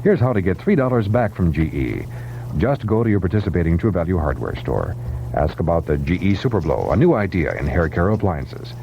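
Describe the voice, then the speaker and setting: A man's voice: low-pitched, sing-song, a little fast. A man reading a 1970s radio advertisement as a commercial announcer. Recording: dull, faintly noisy vintage radio recording.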